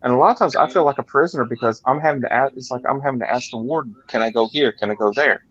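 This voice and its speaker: A man's voice: medium pitch, rapid-fire, a bit bright, no room sound, highs cut off. A man, rambling with stammered restarts in a casual group chat. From Georgia, USA. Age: 40s. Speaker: chat participant.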